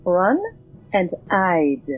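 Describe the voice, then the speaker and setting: A woman's voice: on the low side, expressive, extremely slow, faint music behind. An actress playing a bold, teasing swordswoman in an audio drama, with theatrical delivery. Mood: menacing. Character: bold, teasing, sword-wielding French swashbuckling heroine.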